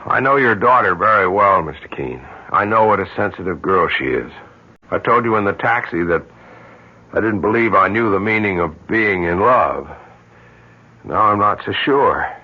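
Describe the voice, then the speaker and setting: An actor playing a sombre, self-reproachful middle-aged doctor who talks haltingly in an old-time radio drama. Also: male voice, fairly low pitch, lively intonation, husky. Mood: sober, measured. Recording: muffled old radio recording.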